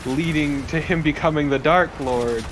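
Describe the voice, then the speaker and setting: A man's voice: a little high, moderate pace, background noise. A man giving casual let's play commentary and recapping game lore in a dry, wry manner. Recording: game ambience behind commentary.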